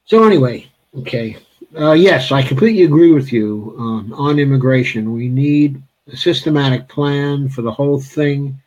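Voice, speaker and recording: A man's voice: medium pitch, normal speed, darkish tone, poor audio. A man hosting a political interview, hesitant with many fillers but blunt and emphatic in his views. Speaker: interviewer, host.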